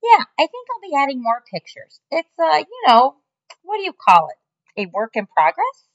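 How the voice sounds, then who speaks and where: A woman voicing a brash, boastful middle-aged man who runs the place, talking loudly, on a muffled lo-fi recording. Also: high-pitched, wildly swooping pitch, normal speed, pitched above the performer's own voice, full character voice. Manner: loud, boastful.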